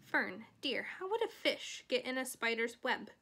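A woman's voice: a little high, sing-song, a little slow, background noise. A woman voicing a stern, worried mother with a firm, exasperated way of talking.

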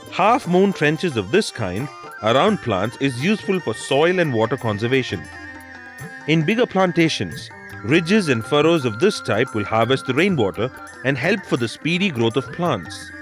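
A man reading scripted instructional narration for a documentary. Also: mid-range voice. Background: background music.

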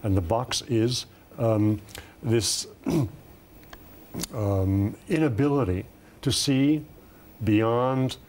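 A man in his seventies giving political commentary as a panel guest, deliberate and formal with hesitant pauses. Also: medium pitch, darkish tone, a little hiss or hum. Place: television studio round table.

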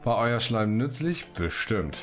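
Ein Mann kommentiert locker und scherzhaft sein Spiel, murmelt vor sich hin und liest Quests vor. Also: male voice, mid-range voice, big pitch swings, takes it slowly, a bit bright. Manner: joking, muttering to himself. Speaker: Let's Play host. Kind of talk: casual gaming commentary with read-out quests. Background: game music.

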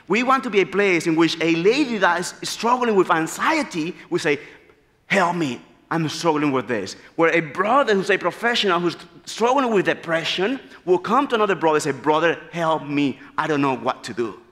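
A man delivers an earnest, animated sermon from the pulpit. His voice sits slightly high for a man. The sound carries a long reverb from the large church hall. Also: normal speed. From Dominican Republic. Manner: animated, gesturing freely, earnest storytelling. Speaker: preacher. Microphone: pulpit mic.

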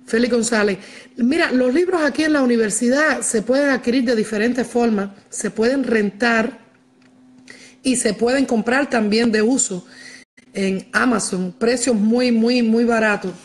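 High-pitched Cuban woman, emphatic. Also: measured pace. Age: middle-aged. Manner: emphatic, repeats herself, addresses viewers directly. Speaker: livestream host. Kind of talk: casual livestream chat. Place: large living room at home. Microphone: wired earphone mic.

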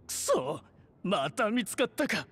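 A cowardly male thief whose pitch swoops widely. He is theatrical and slippery, able to puff himself up pompously or shrink into meekness, in game cutscene style. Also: sing-song, unhurried, a bit bright, exaggerated voice. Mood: cornered. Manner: theatrical, swinging from pompous to meek. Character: shifty cowardly male pickpocket, boastful then grovelling. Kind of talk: video game cutscene acting.

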